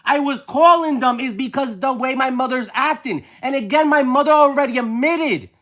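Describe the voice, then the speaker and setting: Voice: high voice, normal speed, a bit bright. A person arguing on a family phone call, insistent, exasperated and repeating points over and over. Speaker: nephew or niece on a phone call with an aunt.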